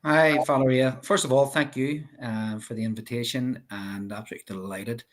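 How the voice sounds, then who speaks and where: A man's voice: medium pitch, steady tone, normal speed. A man with a Belfast accent, a life coach guest explaining his ideas earnestly, with many fillers. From Northern Ireland. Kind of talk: interview chat.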